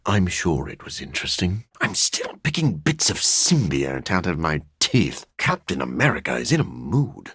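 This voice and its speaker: A brooding male vampire scientist from a video game. His voice is husky and gravelly, with pitch that swings very widely. He speaks formally and awkwardly, with deadpan wit, recorded close and very dry. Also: normal speed, few pauses, dry recording, heavily acted. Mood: dry, weary.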